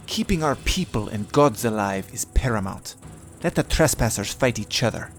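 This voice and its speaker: A man's voice: on the low side, lively intonation, moderate pace, faint music behind. A man playing an earnest, devout young warrior champion in a fantasy audio drama, speaking in a formal, solemn way. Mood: resolute.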